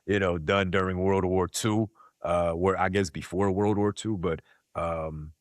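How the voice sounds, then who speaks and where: A man's voice: low-pitched, steady tone, a little slow, no echo, studio quality. A man chatting casually as an interview guest, slangy and often checking that the listener follows. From born in South Korea, raised in Queens, New York. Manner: slangy, frequent "like" and "you know what I mean". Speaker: podcast guest, content creator.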